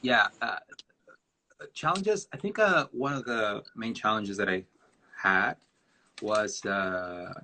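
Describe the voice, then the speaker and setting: A man's voice: lowish voice, takes it slowly, long pauses, pushed and bright, a little hiss or hum. A Mexican man, a native Spanish speaker with a Mexican accent, answering interview questions in a reflective, rambling way with many restarts. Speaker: guest designer. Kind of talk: live-streamed interview answers.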